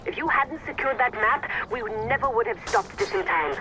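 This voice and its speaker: An actress playing a pragmatic female rebel strategist speaking over a radio in a video game, brisk and urgent. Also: fairly high pitch, expressive. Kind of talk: video game cutscene acting. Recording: muffled radio transmission with hiss.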